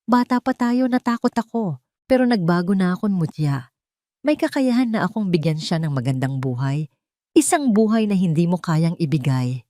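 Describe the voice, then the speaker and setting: A calm, scheming businessman and former lover, played by a woman narrator in an audio drama. The voice is soft-edged and dry, with quiet threat beneath controlled, deliberate phrasing. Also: on the low side, no room sound, lightly acted. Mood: feigned remorse.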